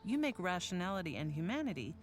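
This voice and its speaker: A woman reading letters as an earnest young woman philosopher, measured and formal. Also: on the low side, big pitch swings, soft background music. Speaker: reader of letters in a documentary.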